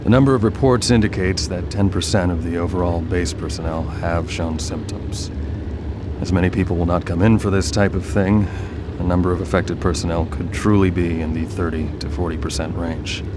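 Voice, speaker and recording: A male actor as a grave, clinical doctor at a space base in a video game. His voice is deep and his report formal and precise. Clear noise sits behind the recording. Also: fairly even intonation, normal speed, natural voice. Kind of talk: video game audio log acting.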